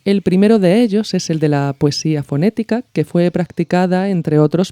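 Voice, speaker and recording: A man hosting a podcast, reading scripted educational narration with measured, explanatory phrasing. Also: high voice, dark tone, clean tone, no room sound, studio quality. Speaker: podcast host.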